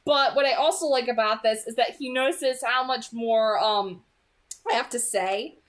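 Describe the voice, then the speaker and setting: A woman's voice: fairly high pitch, normal speed. A woman chatting casually as she gives book commentary, rambling and emphatic, often repeating phrases for stress. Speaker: read-aloud channel host giving commentary.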